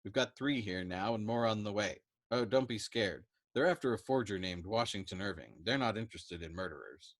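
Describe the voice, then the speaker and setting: A man's voice: on the low side, animated, brisk, hardly any pauses, no room sound, clean studio sound. Man reading as a wary, exasperated airman. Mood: calm, reasoning. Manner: timid and diplomatic, snapping when pushed. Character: wary, reasonable male airman, exasperated by madness around him.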